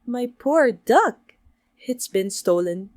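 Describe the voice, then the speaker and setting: Woman voicing a sly, high-pitched storybook fox. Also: animated, slow pace, a little mellow, dry recording. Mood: dramatic wailing. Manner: melodramatic, falsely sweet pleading. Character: sly, greedy female fox, sweetly pleading and wailing.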